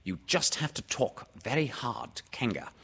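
A man voicing a bossy, scheming rabbit in a storybook, brisk and full of instructions. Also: mid-range voice, expressive, vocal fry, fairly bright tone, husky, long reverb tail, voice pushed up, exaggerated voice. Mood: brisk, instructing. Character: bossy, scheming male rabbit, busy organiser.

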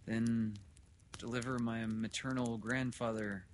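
A man voicing a sulky, subdued father in a storybook reading, tense and quiet. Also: medium pitch, level tone, measured pace, slight background noise, voice pushed up, natural voice. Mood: hollow, emptily. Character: glum, sulky young father, quietly strained.

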